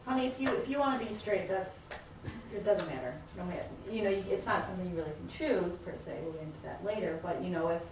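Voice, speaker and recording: Fast-talking woman playing an accepting mother. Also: lowish voice, close to an everyday voice. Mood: accepting, reassuring. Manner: informal, reassuring. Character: accepting mother in family therapy. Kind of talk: role-played therapy session. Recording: very echoey room, distant mic, faint noise.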